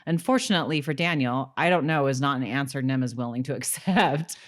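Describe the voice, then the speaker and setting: A woman's voice: a bass voice, fairly quick. A woman co-hosting a podcast, moving between prepared recap and casual chat. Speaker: podcast co-host.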